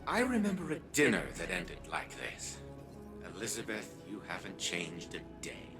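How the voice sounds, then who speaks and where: A man voicing an arrogant, condescending old patriarch with a machine mind in a video game, speaking in a measured, imperious way. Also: sits low, huge pitch swings. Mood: smug. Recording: music behind the voice.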